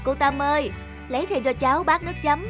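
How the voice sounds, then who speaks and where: Cartoon office boss played by a woman, brisk, friendly, confident, faint music underneath. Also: high voice, natural voice. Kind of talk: cartoon drama voice acting.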